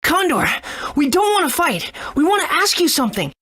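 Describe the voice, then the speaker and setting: High, earnest young boy. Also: sing-song, a little fast, fairly bright tone, dry recording. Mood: eager. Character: earnest, good-natured young boy adventurer. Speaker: video game character. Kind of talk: English game dub.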